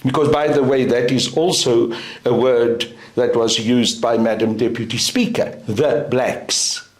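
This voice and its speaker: A middle-aged South African man whose first language is Afrikaans, speaking in a deliberate, emphatic way as an interview guest. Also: medium pitch, fairly even intonation. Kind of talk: interview answer. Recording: roomy echo.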